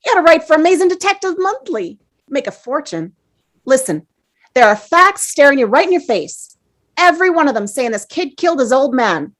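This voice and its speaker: A woman's voice: high-pitched, big pitch swings, normal speed, a bit bright. A woman playing a brash, impatient, sarcastic male juror in a scoffing, exclamatory way at a dramatic table read. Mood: scornful.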